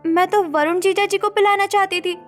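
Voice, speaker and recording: A woman's voice: very high voice, steady tone, moderate pace, faint music behind. A woman voicing a mischievous young girl in a cartoon story, with a playful, cajoling manner. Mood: pleading. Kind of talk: Hindi cartoon story acting.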